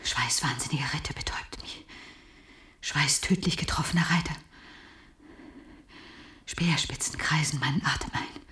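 An actress playing a broken, tormented woman in a German radio drama, reciting dark verse in a breathy, trance-like whisper. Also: low voice, unhurried, long pauses. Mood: haunted.

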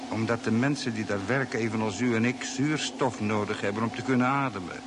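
A man acts a fatalistic guide on Mars in an old radio serial. His voice is on the low side, measured and sombre. A clear buzzing noise runs behind it. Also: close to an everyday voice. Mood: grave, explanatory. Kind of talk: mid-century Dutch radio drama acting.

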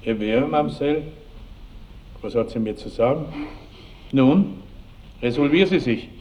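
An actor playing a pompous, imperious baron and boorish bridegroom in a 1960s radio drama. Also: male voice, mid-range voice, a little slow, darkish tone, natural voice. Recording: dull old broadcast, faint hiss.

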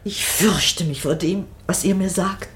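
Woman acting patient, unhurried, tender companion. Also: fairly low pitch, close to an everyday voice. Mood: prompting. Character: actor's patient, tender companion prompting his lines. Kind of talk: German radio drama acting.

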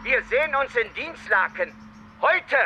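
A man's voice: very high voice, very animated, unhurried, over music, full character voice. A man playing a curt, menacing official, pointed and coldly polite, in a radio crime drama phone call. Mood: threatening.

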